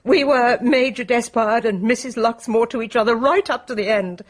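Actress as tragic-aired British widow, highish husky voice, theatrical and self-dramatising, for radio drama. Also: female voice, moderate pace, natural voice. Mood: wistful, dramatic.

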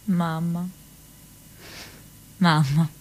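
A woman playing an earnest young activist in a radio play, speaking a letter home to her mother haltingly, searching for words. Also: fairly low pitch, level tone. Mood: earnest recounting. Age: young adult. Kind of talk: dramatic monologue in radio theatre, reading a diary letter.

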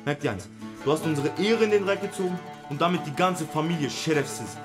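An actor in a radio play playing a threatening, contemptuous Turkish brother obsessed with family honour. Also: male voice, fairly high pitch, lively intonation, a little fast, over music, close to an everyday voice. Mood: furious, threatening.

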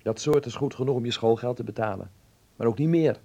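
An actor playing an earnest, defiant teenage son in a Dutch radio play, hesitant and stammering at times, then insistent. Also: male voice, mid-range voice, fairly quick, warm tone, close to an everyday voice.

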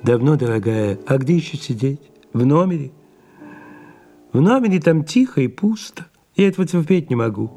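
Sardonic aging male writer in exile: declamatory, drawn-out, mid-pitched, music clearly underneath. Audio drama. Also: big pitch swings, warm tone. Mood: bitter, ironic. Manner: slow, drawn-out, pausing, declamatory. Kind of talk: audio play acting.

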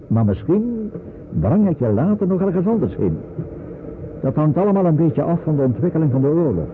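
An actor playing a calm, reassuring wartime resistance escort in a Dutch radio play, speaking in a measured, instructive way. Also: male voice, on the high side. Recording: muffled, noisy old radio play recording.

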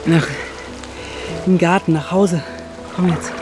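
A man's voice: fairly high pitch, fairly even intonation, takes it slowly, music in the background. An actor playing a worried, coaxing young man in a naturalistic radio drama, speaking in clipped, urgent pleas to his father. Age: young adult. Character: worried, coaxing grown son, impatient but caring.

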